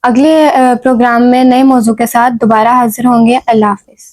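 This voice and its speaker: A woman's voice: on the high side, little pitch movement, a little mellow. A woman hosting a literary programme in a courteous, formal manner. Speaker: talk show host. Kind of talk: polite literary programme presenting.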